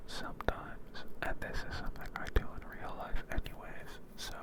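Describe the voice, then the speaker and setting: An ASMR creator at home, whispering softly in a meandering ramble, with a sore throat and a sniffly nose, into a handheld field recorder. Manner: soft, meandering, unhurried whisper. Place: home, indoors.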